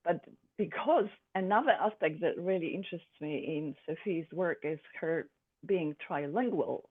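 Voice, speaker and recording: A speaker born in Poland, an artist presenting her own work in a thoughtful, halting way with many pauses and fillers. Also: medium pitch. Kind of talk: art presentation to a group. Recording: tinny phone-like sound.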